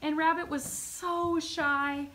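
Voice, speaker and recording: High-voiced woman telling children a story. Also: slow. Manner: expressive, addressing young children. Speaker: music teacher. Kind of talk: live storytelling to children in a puppet show. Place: medium-sized school music classroom. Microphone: phone camera a few metres away. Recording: noisy classroom phone video.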